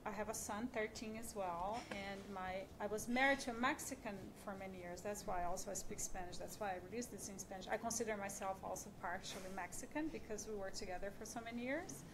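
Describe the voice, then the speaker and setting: A woman's voice: medium pitch, quick pace, hardly any pauses, background noise. A middle-aged woman from Brazil with a Brazilian Portuguese accent, speaking frankly as a panelist in a conversation, with light humor. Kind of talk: panel discussion.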